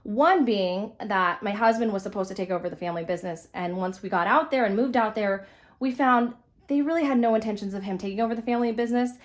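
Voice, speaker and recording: A woman's voice: medium pitch, fast. Chatty young woman, slightly stuffy. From California. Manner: rambling, many fillers and restarts. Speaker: vlogger, reborn doll collector. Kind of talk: casual chat while dressing a doll.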